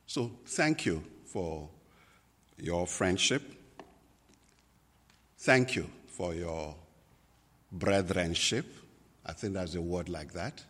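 A man from Nigeria, a native Igbo speaker with a Nigerian accent, preaching a sermon as a pastor, slow and deliberate with many pauses and fillers. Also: deep voice, expressive, a bit bright, slightly rough, in a large hall.

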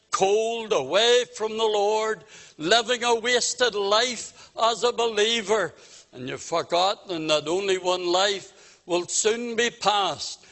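Slow, high-pitched preacher man. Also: a bit bright. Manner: solemn, exhorting, with deliberate phrasing and repeated direct appeals. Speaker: evangelist preacher. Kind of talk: evangelistic gospel sermon. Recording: long reverb of a meeting hall.

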